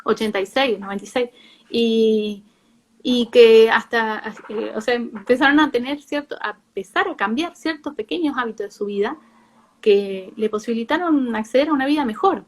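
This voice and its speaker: A woman psychologist with an Argentine accent, explaining informally in a live talk with a halting, self-rephrasing delivery. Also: fairly high pitch. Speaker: psychologist, guest speaker.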